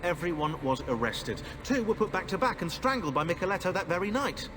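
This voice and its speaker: Eager history buff, casual storytelling, very varied intonation, reverberant sound. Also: male voice, high-pitched, a little fast, noisy background. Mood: enthusiastic. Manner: animated, informal. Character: chatty, eager history-buff man telling stories. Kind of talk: casual conversational game acting.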